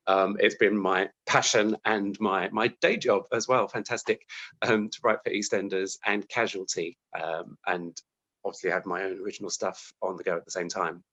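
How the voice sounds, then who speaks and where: A man's voice: lowish voice, a bit bright, poor audio. A British man giving chatty, self-deprecating, conversational answers as an interview guest.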